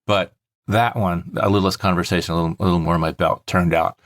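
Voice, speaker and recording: A man with a low voice talks as a podcast host. His delivery is casual and explanatory, working through things methodically. The sound is dry, with very little room to it. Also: steady tone, fast talker, dry recording.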